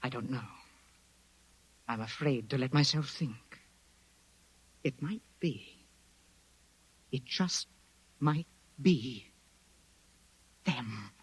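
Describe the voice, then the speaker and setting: An actor playing a menacing alien who poses as a dutiful son, theatrical and sinister in 1950s radio drama style. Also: male voice, mid-range voice, very slow, long pauses, breathy edge, full character voice. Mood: worried.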